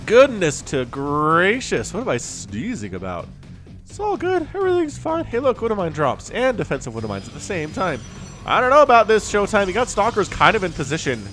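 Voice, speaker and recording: A man's voice: sits high, huge pitch swings, a little fast, a bit bright, soft background music. A young man with a sniffly cold, giving chatty solo commentary on a strategy game and wandering off on jokey tangents. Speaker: StarCraft shoutcaster.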